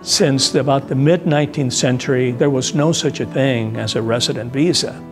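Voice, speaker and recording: A man's voice: medium pitch, moderate pace. A man giving explanatory expert commentary in a documentary interview. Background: plucked guitar music.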